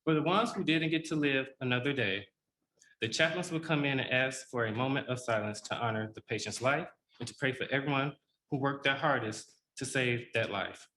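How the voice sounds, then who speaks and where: A man with a bright, forceful voice reads a prepared personal account. His delivery is solemn and measured. The reading sits in a roomy, echoing space. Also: mid-range voice, slight background noise.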